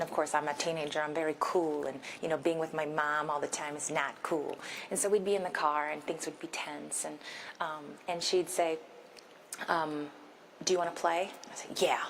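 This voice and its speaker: A woman's voice: low-pitched, creaky, slight background noise, poor audio. A woman from Korea, a guest performer at a press event in a large theater, reflective and long-winded. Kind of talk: personal storytelling at press conference.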